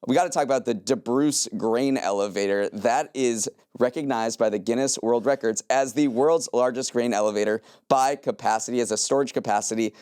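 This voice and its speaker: A man's voice: medium pitch, fairly quick, few pauses, a little mellow, clear, resonant tone, no echo. American man co-hosting, dry close sound. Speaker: podcast co-host. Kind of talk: scripted news recap with light banter.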